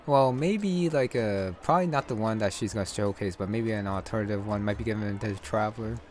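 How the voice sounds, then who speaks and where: A man giving casual, low-key commentary as he plays a video game, musing aloud. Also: brisk, darkish tone. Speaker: gamer commenting on his playthrough.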